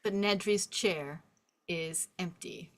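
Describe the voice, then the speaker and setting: A woman with a lowish voice. She narrates stage directions at a table read and also chats informally with the group. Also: slow, fairly bright tone. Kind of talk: reading script directions, casual discussion.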